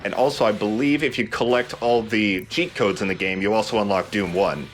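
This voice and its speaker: A man's voice: on the low side, fairly quick. A man hosting a let's play with casual, informal commentary, enthusiastic about small details. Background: game music.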